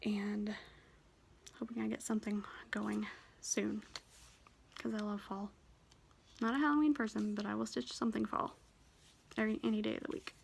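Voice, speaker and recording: A young woman with a soft, quiet voice, chatting shyly and a bit nervously about her stitching projects in a casual vlog. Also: medium pitch, steady tone, normal speed, vocal fry, pauses often, a little hiss or hum. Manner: shy, rambling, nervous. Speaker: cross-stitch vlogger. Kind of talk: casual show-and-tell vlog.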